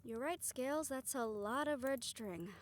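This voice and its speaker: A woman's voice: high voice, unhurried. A woman acting a good-natured young spellcaster in an audio roleplay, talking in a casual, folksy, wry way. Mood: thoughtful.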